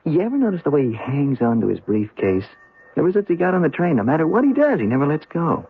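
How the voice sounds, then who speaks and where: A restless young streetwise man in a radio drama. His fairly high, husky voice swings up and down as he pries in pushy slang. The recording sounds dull. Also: big pitch swings, fast talker, exaggerated voice. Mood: nosy, sly. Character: jittery, nosy young male drifter, streetwise and slangy.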